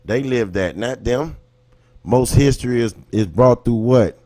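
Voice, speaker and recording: Male livestream host, American accent, medium pitch; impassioned, colloquial, emphatic street-level commentary with rhetorical questions. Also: unhurried, warm tone. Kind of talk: impassioned casual commentary, talking to live viewers.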